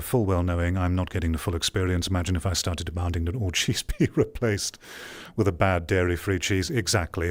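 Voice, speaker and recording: A man giving rambling, opinionated livestream commentary as he reads and answers chat. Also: low voice. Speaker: streamer.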